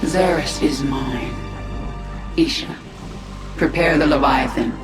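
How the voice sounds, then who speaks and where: Icy alien queen, bossy and resolute, echoey, game cutscene w loud music. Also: very deep voice, takes it slowly. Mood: commanding. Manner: imperious, terse orders. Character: commanding alien warrior queen, cold, vengeful, resolute. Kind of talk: video game cutscene acting. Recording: echoing, loud game score behind.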